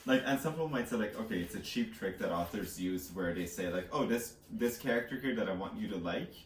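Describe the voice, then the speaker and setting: A man's voice: on the low side, lively intonation, fairly quick, a little hiss or hum. A young man giving a casual, rambling recap as co-host, slangy and amused, peppering it with "like". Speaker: book podcast co-host. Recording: echoey room, distant from microphone.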